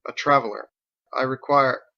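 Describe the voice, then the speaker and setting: A man's voice: medium pitch, fairly even intonation, moderate pace, vocal fry, lo-fi sound, close to an everyday voice. A man voicing a stern, commanding woman who speaks in clipped, formal demands in an audiobook. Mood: curt, restrained. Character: stern, commanding woman healer interrogating a witness.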